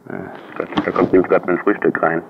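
A man's voice: sits low, monotone, fast talker, vocal fry. A man conducting an interview in casual, conversational speech. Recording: dull lo-fi line, background noise, music.

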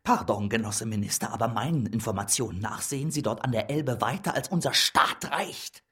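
A man's voice: medium pitch, brisk, sharp tone, slightly rough. An actor playing a servile jester puppet reporting cautiously to his minister, deferential in manner. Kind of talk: radio play acting.

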